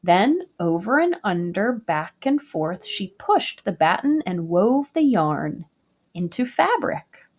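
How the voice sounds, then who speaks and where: A woman reading a children's picture book aloud, slow, gentle and expressive. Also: medium pitch, lo-fi sound. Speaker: storybook reader.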